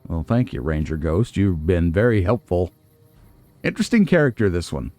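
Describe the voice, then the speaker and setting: Low-pitched man doing casual game commentary. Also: very animated, normal speed, darkish tone, no echo, faint music behind. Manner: musing, nitpicking, talks to himself. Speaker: let's play host.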